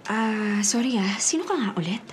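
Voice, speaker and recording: A woman's voice: medium pitch, lively intonation, normal speed, natural voice. A Filipino woman playing an anxious, haunted woman in a radio drama, stubbornly in denial, with overwrought, nervous self-talk. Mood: confused, uneasy.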